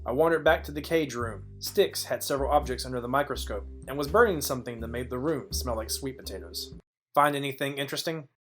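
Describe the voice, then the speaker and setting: Male actor as hard-boiled noir gumshoe: dry, sardonic narration, mid-pitched voice, music underneath. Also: fast talker, fairly bright tone, lightly acted. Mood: wry narrating. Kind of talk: film noir audio play narration.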